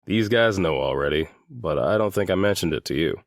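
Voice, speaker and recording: A man playing a guarded seventeen-year-old boy in a full-cast audiobook, speaking in a deliberate, matter-of-fact way. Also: sits low, animated, dry recording, studio quality. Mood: frank, determined. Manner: deliberate, matter-of-fact, swears now and then.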